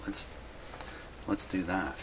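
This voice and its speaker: A man's voice: low voice, takes it slowly, heavy background noise. A man casually narrating his hands-on repair work as a video host, rambling and thinking aloud to himself. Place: indoor home workshop.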